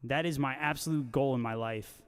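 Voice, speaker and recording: A man's voice: medium pitch, a little fast, smooth. A man hosting a casual guitar livestream, reading out viewer comments and bantering with joking, slangy talk. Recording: long hall-like reverb on voice.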